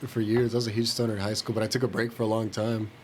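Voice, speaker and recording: A man chatting casually on a podcast in slangy, somewhat halting speech. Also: fairly low pitch, little pitch movement, a little mellow, slight background noise. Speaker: podcast co-host.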